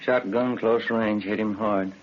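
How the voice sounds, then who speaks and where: Blunt, low-voiced detective partner, played by a man. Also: fairly even intonation, unhurried, close to an everyday voice. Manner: blunt, direct. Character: blunt male police detective, the sergeant's partner. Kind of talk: 1950s radio police drama. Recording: muffled old radio recording, clear noise.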